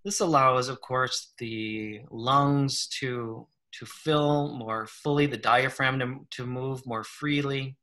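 A man leading a guided meditation in a gentle, unhurried manner, leaving long pauses between short phrases. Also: mid-range voice, fairly even intonation. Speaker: guided meditation leader, psychologist. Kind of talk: slow guided meditation, soothing instruction.

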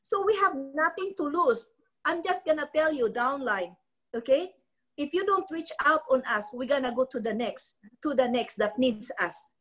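A woman's voice: sits high, lively intonation, moderate pace, fairly bright tone. A middle-aged Filipino woman giving a motivational business training talk on a live video call from her living room, close to a phone mic, repeating and restarting phrases. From Philippines. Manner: repetitive, restarting, preachy. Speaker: network marketing trainer. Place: ornate living room at home. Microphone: phone close to the face.

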